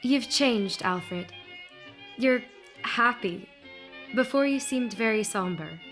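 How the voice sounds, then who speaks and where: A struggling young woman in a Depression-era radio play. Her voice is on the high side, earnest and a little jittery. She sounds guarded, with soft music underneath. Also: slow. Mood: earnest, uneasy. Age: young adult.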